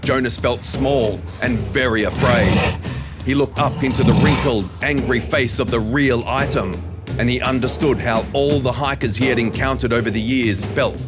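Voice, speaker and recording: A man reading a suspenseful story as a narrator, in a measured, dramatic scripted style. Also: mid-range voice. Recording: loud music under the narration.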